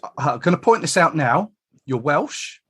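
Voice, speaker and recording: A man speaks as a podcast host in a conversational interviewing style. His pitch is very animated, rising and falling often across his sentences. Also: normal speed, slight background noise.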